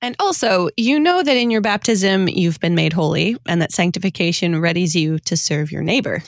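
A woman playing a teasing, matter-of-fact ghostly figment with a wry, deadpan manner in a comedic radio play. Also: lowish voice, normal speed, no echo, clean studio sound.

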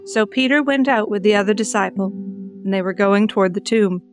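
A woman's voice: mid-range voice, a little fast, faint music behind. A woman podcast co-host who moves between reading scripture and earnest, enthusiastic chat. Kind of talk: Bible reading and casual group chat.

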